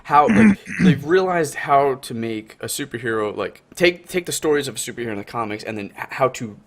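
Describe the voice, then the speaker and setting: A man's voice: mid-range voice, lively intonation, brisk. A man co-hosting a podcast over an online call, chatting casually in an enthusiastic, slangy way. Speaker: podcast co-host. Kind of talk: casual group chat.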